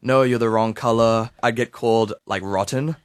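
A man's voice: medium pitch, fairly even intonation, moderate pace, no echo, clean studio sound. A seventeen-year-old young man from New Zealand chatting casually as a radio guest, in informal, slangy speech. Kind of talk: casual chat.

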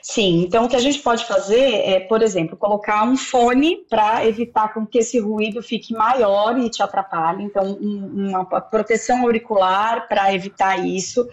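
A woman doctor calmly explaining sleep health in an interview, listing advice point by point. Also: mid-range voice, moderate pace, hardly any pauses. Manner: calm, methodical, lists advice point by point. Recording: dull, muffled audio.

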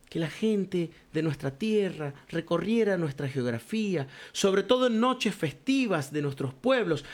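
An older man telling stories about folk song in a reflective radio column and calling the listener compadre. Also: high voice. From Cuyo, Argentina. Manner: warm storytelling, addresses listener as compadre.

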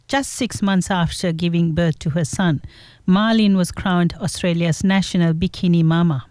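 A woman hosting an interview show, giving a gentle, earnest introduction and then asking questions. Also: on the low side, no room sound.

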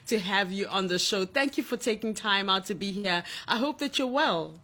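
A woman's voice: medium pitch, animated, pushed and bright. A woman with a Ugandan accent hosting a radio interview show, bubbly and upbeat. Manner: bubbly, upbeat, quick to laugh. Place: studio in Ghana.

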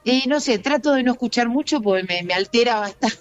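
A man with an Argentine accent at a gym, chatting in a relaxed, musing way. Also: extremely high pitch, a little fast, lo-fi sound, faint music behind. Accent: Argentine Spanish.

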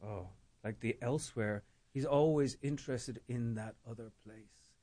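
A man's voice: medium pitch, measured pace, warm tone. A middle-aged man, a novelist being interviewed, speaking thoughtfully and often repeating words as he searches for them. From Ireland. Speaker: novelist guest. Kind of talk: reflective interview talk.

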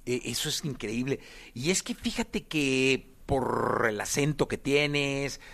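A man's voice: mid-range voice, animated, slow pace, creaky, fairly bright tone. A man hosting a casual interview in an affirming, encouraging, conversational way. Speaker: interview host.

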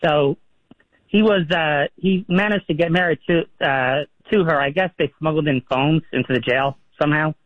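A man's voice: a little high, normal speed, dry recording. A man from New York calling a radio show, hesitant and stammering. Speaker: caller. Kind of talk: phone-in call. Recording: muffled phone line.

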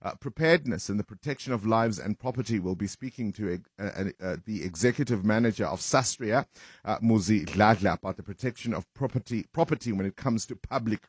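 A man's voice: fairly low pitch, brisk, slightly rough, dry recording. A man with a South African accent, hosting a live radio breakfast show with casual banter.

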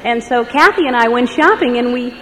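A woman's voice: on the high side, a little fast. A woman doing a stand-up set to a live crowd, wry and observational. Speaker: stand-up comedian. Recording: noisy club with crowd. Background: crowd laughing.

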